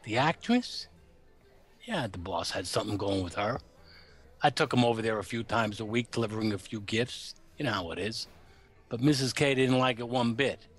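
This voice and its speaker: An actor playing an uneasy working-class chauffeur in a noir radio play, confiding in colloquial, hesitant speech. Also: male voice, mid-range voice, animated, moderate pace, natural voice. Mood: confiding, gossipy.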